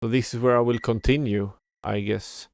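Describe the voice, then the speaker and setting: A man's voice: medium pitch, steady tone, moderate pace, creaky, a little mellow. A man giving casual live game commentary as a streamer, muttering in a mildly exasperated way. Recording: game music behind the voice. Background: video game music.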